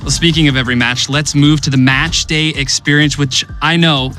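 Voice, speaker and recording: A man's voice: on the high side, fairly even intonation, moderate pace. A man hosting a promotional interview, asking questions and moving between subjects in an upbeat way. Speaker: interviewer, host. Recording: loud music behind voice.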